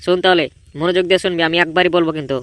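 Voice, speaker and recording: A man's voice: high-pitched, steady tone, rapid-fire, a bit bright, dry recording. A man voicing a brash, dismissive village boy in a comedy cartoon, blunt and pushy.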